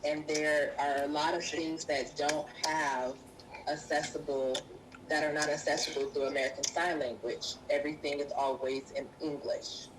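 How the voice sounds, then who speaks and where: Low-voiced woman interpreter, noisy recording. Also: flat delivery. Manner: measured, halting phrasing while interpreting. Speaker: sign language interpreter voicing a deaf guest. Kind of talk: live spoken interpretation in an interview.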